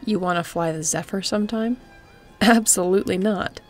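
A woman playing a guarded, wry spaceship captain in an intimate sci-fi audio roleplay, with a gravelly voice and dry, teasing, conversational delivery. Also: mid-range voice, moderate pace, soft background music, natural voice. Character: guarded, wry female spaceship pilot captain, softening toward an old friend.

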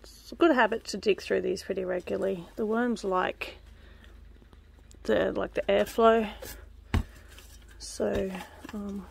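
A woman's voice: mid-range voice, takes it slowly, gravelly, long pauses, breathy edge. A young woman chatting casually through a backyard gardening vlog, rambling with lots of ums, filmed on a handheld phone outdoors. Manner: relaxed, rambling, many ums, drops to a whisper up close. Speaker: vlogger. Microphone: phone held close.

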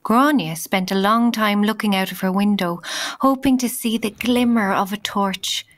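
A woman's voice: mid-range voice, moderate pace, natural voice. A woman with an Irish accent playing the narrator of a children's tale in scripted storytelling. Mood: calm.

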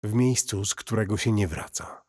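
Grim doom-warning archivist played by a man for horror audio play: measured, husky, long reverb. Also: on the low side, steady tone, a little mellow, natural voice. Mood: ominous.

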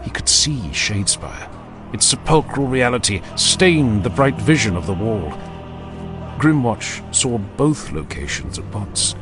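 A man giving scripted, dramatic narration of a fantasy audiobook with grave, deliberate phrasing. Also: fairly low pitch, very animated, over music.

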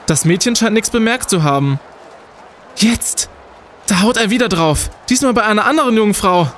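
Man playing a high-pitched, pedantic young student sleuth. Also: lively intonation, normal speed, fairly bright tone, a little hiss or hum. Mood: indignant. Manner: pedantic, emphatic. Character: indignant, pedantic young male student amateur detective. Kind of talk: scripted radio play acting.

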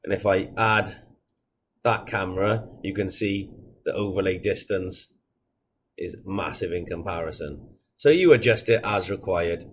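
A man in his thirties demonstrates software in a fairly low voice. He explains things step by step in plain, informal language. The recording sounds like an office desk setup, with slight noise and clicking behind him. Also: measured pace. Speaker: product demonstrator for a security equipment supplier. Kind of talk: casual software tutorial.

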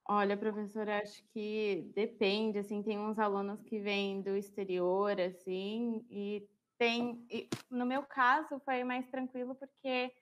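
A woman's voice: mid-range voice, a little slow, hardly any pauses. Chatty young Brazilian woman student. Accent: Brazilian Portuguese. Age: young adult. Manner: relaxed, chatty, uses fillers like tipo. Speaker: university student. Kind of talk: casual panel chat. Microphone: video call.

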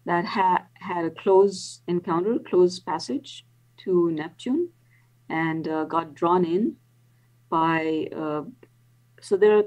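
A woman's voice: on the low side, a little mellow, dull audio. A woman in her sixties, a planetary scientist, explaining carefully on a video call with frequent ums and restarts. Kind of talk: explanatory interview answers.

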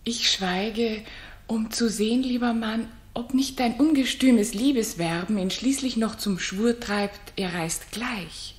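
A witty queen in a 1960s German radio play. She speaks eloquent verse in a highish voice, playful and courtly. The sound is roomy. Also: slow pace. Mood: composed. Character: gracious, teasing, witty queen of Sicily.

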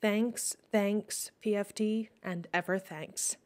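A woman, a theatre managing director, giving an earnest, measured, articulate scripted address to listeners. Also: mid-range voice, steady tone.

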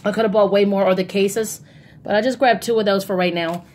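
Casting: female haul vlogger, mid-pitched voice, informal, rambling, chatty delivery. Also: fairly even intonation, fairly quick, a little mellow. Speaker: vlogger showing shopping hauls. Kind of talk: casual haul vlog chat.

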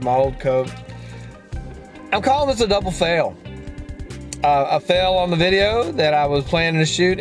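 Middle-aged man rambling over music. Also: on the high side. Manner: rambling, wry, self-deprecating. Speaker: vlogger. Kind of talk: casual vlog chat. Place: inside a parked or moving car.

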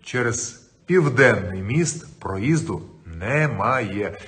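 A man's voice: on the low side, lively intonation, extremely slow, fairly bright tone. A middle-aged man, a city mayor, giving a firm official video briefing to residents from his office desk into a webcam. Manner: firm, emphatic, informative. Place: indoor office with flags.

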